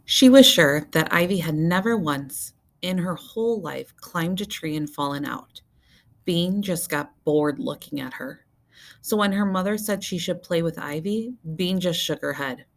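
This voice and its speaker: A woman with a low voice narrates a children's book. She reads like a teacher to young listeners, expressively, with deliberate emphasis on key words. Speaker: teacher reading aloud to children.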